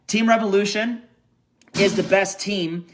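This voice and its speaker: A young man with a fairly high voice delivers a rousing pep talk to his sales team. He hammers his points through repetition and keeps tossing in quick check-ins with his listeners. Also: steady tone. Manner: emphatic and repetitive, keeps checking in with "okay?" and "right?". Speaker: network marketing team leader.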